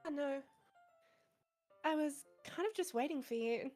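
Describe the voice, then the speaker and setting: A woman playing a shy, polite, bookish high school girl with a soft, hesitant delivery in a visual novel game. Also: measured pace, faint music behind.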